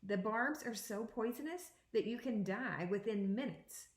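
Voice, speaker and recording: A woman teacher giving an interactive read-aloud for young children, reading deliberately and pausing to put questions to her listeners. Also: fairly high pitch, roomy sound. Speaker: second grade teacher.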